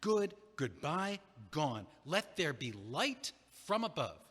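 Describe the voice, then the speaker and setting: A man's voice: a little high, very animated, slow pace, a bit bright, breathy edge, long reverb tail, exaggerated voice. A man playing a rueful, dumped guy. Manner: dry, wry, hesitant delivery. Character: recently dumped man, rueful and self-mocking. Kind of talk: audio play acting.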